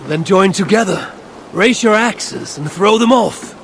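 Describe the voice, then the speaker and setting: A man's voice: high-pitched, lively intonation, a little slow, gravelly, a bit bright, slight background noise, full character voice. A man playing a stern, proud Norse god and father in a video game, speaking formally and tersely. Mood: challenging. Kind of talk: video game cutscene acting.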